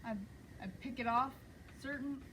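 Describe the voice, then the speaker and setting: A woman's voice: high-pitched, fairly even intonation, measured pace, a bit bright, slight background noise. A woman reading a hungry, worn-out female gunslinger, weary and griping.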